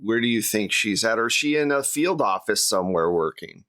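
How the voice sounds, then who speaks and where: Low-voiced man hosting a casual discussion. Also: animated, a little fast, sharp tone, no room sound, studio quality. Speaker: game master and host. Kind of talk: casual round-table discussion.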